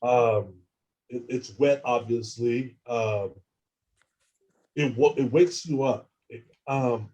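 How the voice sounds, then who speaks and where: A man telling his own experiences as an interview guest, halting and often repeating words as he restarts sentences. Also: medium pitch, fairly even intonation, dark tone, highs cut off. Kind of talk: casual first-person account.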